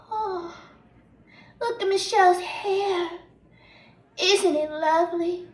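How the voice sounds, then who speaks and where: A woman playing an embittered, unhinged young woman jealous of her sister, telling her story slowly and swinging into bitter outbursts in a dramatic stage monologue. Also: very high voice, bright, forceful tone, heavily acted. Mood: bitterly mocking. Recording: roomy echo, distant microphone.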